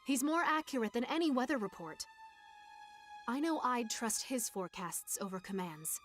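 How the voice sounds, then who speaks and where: The forceful, insistent young officer played by a woman pushing her troops onward in a war game cutscene, score behind. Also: fairly high pitch, expressive, normal speed, soft background music, lightly acted. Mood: resolute. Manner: commanding, insistent. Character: headstrong, forceful young female army officer. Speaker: game voice actor. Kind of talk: dramatic game dialogue.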